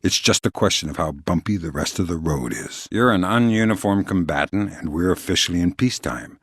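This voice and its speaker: A middle-aged video game operative with a very deep, husky, gravelly voice. He is cool and sardonic, delivering threats in a dry deadpan. The sound is close and dry, with no room on it. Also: fairly quick, no echo, full character voice. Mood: quietly menacing. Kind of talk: video game voice acting, isolated dialogue lines. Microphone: close studio microphone, dry.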